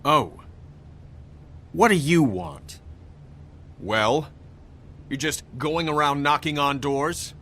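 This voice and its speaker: An actor playing a suspicious, easily riled husband in a game drama, speaking with sarcasm and indignation. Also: male voice, a little high, lively intonation, takes it slowly, long pauses, pushed and bright, a little hiss or hum, exaggerated voice. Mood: sullen, sarcastic. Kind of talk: video game drama acting.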